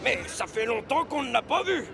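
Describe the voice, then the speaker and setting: A man voicing an excitable, grumbling dockside crane in a cartoon, exclaiming with effort. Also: extremely high pitch, normal speed, vocal fry, heavily acted. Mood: matter-of-fact.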